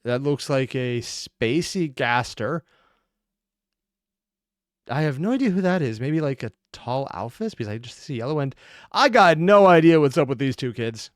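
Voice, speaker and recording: A man's voice: medium pitch, animated, moderate pace, no echo, clean studio sound. A man giving casual, sweary, exclamatory reaction commentary as the host of a video.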